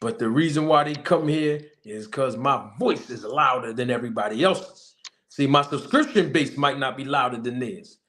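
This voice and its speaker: A man's voice: mid-range voice, moderate pace. A man who manages boxers and hosts his own online show, talking emphatically in a casual, slang-heavy style with repeated, preacher-like emphasis. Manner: forceful, repetitive, preacher-like emphasis. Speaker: boxing manager and channel host.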